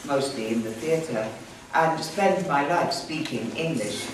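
A woman's voice: sits low, slow, noisy background. An older woman from Wales, a native Welsh speaker, giving a gracious speech to an audience in a small hall, recorded from a distant camera. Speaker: guest speaker. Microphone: far camera, no mic. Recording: echoey room from far off.

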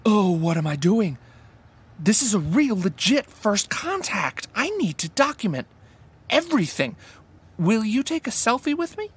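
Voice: medium pitch, big pitch swings, measured pace, slightly rough, heavily acted. An actor playing an earnest, awestruck captain of an amateur sci-fi fan crew in an audio drama. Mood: flustered, amazed.

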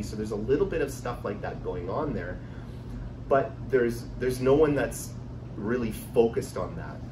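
A man's voice: on the low side, distant mic. A middle-aged man giving an informal startup talk at a meetup, conversational and full of fillers and restarts. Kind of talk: informal presentation to an audience. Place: conference room with projector screen. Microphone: far camera across the room. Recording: echoey room recorded from afar, background hum.

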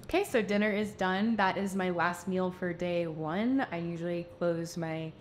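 A woman's voice: on the low side, fairly even intonation, moderate pace, a little hiss or hum. A woman in her twenties chatting casually to camera as a vlog host, with an upbeat, exclaiming manner. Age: 20s. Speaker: vlog host, cruise ship crew member. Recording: music behind the voice. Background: background music.

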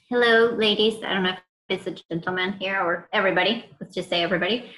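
Bright-voiced Venezuelan woman. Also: medium pitch, fairly even intonation, brisk, poor audio. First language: Spanish. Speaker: workshop participant. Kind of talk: casual self-introduction. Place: online video call.

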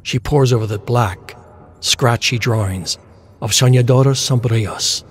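A man reading game lore as dramatic scripted narration, in a deliberate storytelling manner with dramatic pauses. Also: medium pitch.

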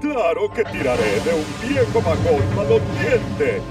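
A pompous big engine in a cartoon, a proud male express locomotive with a high voice that leaps all over. He drags his words out slowly and exclaims with wounded pride. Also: big pitch swings, recorded from a distance, over loud music. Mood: boastful. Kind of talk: cartoon dub acting.

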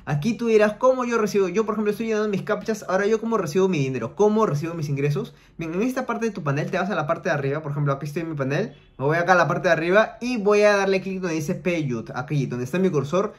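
A man's voice: sits high, quick pace, hardly any pauses. A young man hosting a casual screen-share tutorial, chatty and explaining each step with filler tags, recorded in a small room at home. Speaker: online money tutorial host.

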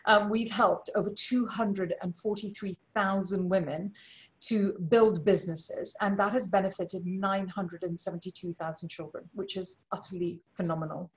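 Effusive woman hosting a webinar. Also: fairly low pitch. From Malawi. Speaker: webinar host and charity board chair. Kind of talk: informal spoken introduction and charity appeal. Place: living room at home. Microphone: video call computer microphone.

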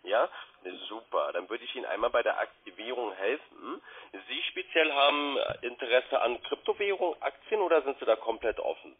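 A man's voice: medium pitch, animated, moderate pace, breathy edge. A man working as a call centre sales agent, giving polite, eager patter and asking question after question. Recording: muffled, band-limited phone line.

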